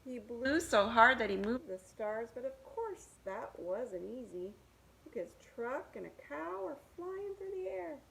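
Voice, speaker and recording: A woman's voice: on the high side, big pitch swings, a little slow, creaky, a bit bright, a little hiss or hum, low-quality recording. A woman reading picture books aloud to young children, with sing-song rhymes and simple asides about the pictures.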